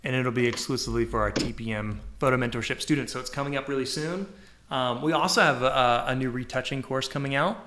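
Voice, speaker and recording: A man's voice: mid-range voice, fast, noisy background. A man co-hosting a live podcast, chatting casually and upbeat while promoting. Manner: upbeat, promotional, says y'all. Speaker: podcast co-host. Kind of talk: casual live-stream chat.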